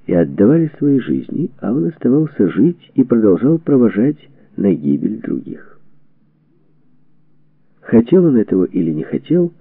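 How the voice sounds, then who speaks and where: A man narrating a historical book from a script, with measured, deliberate phrasing and pauses. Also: mid-range voice, vocal fry, dry recording. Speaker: audiobook narrator. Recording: band-limited, tinny phone-like sound.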